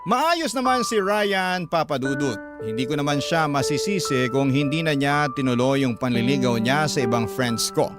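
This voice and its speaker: A man's voice: mid-range voice, moderate pace, hardly any pauses. A man with a Filipino accent narrating a first-person story as a radio storyteller. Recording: prominent music bed behind narration. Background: loud music.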